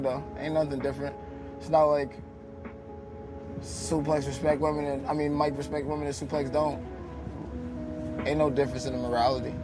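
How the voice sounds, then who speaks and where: A man, a rapper guest, talking bluntly and profanely in a casual interview. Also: mid-range voice. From Albany, New York.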